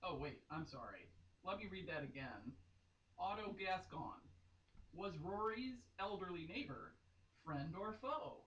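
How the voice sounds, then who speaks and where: Animated woman reading aloud in an echoey room. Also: on the low side, a little slow, dull audio. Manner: giggly, exclaiming, playfully theatrical. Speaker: reader performing a dramatic reading of a romance novel. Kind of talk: mock-dramatic read-aloud with casual comic asides. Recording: echoey room, distant mic, faint noise.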